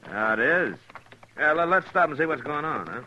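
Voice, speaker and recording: An actor playing a steady, considerate American frontier lawman with halting, laconic speech in a 1950s radio western. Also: fairly high pitch, lo-fi sound, heavily acted. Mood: easygoing. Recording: faintly noisy old radio recording.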